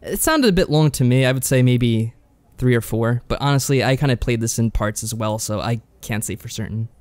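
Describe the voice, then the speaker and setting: A man's voice: mid-range voice, brisk, a little mellow, no room sound. A man co-hosting a podcast, chatting casually about games in a laid-back, slightly tentative way. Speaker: podcast co-host.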